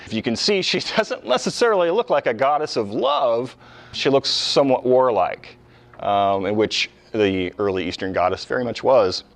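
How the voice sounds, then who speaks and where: A man's voice: medium pitch, animated, moderate pace. A man lecturing informally on ancient history as a professor, explaining in a conversational way with colloquial asides.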